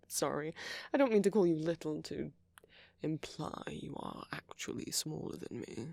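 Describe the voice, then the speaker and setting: An ancient vampire gentleman, acted by a man for an ASMR roleplay, speaks very close and dry. His voice is breathy and husky with a gravelly fry, often slipping toward a whisper. He is courteous, friendly and teasingly flirtatious, with soft hesitations. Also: fairly high pitch, sing-song, unhurried, no echo, full character voice. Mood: teasing, flirty. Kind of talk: ASMR roleplay monologue, intimate and conversational.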